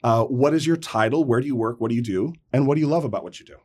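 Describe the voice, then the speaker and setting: Fast-talking husky man host. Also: medium pitch, lively intonation, darkish tone. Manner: enthusiastic, welcoming. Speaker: podcast host. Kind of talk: interview hosting.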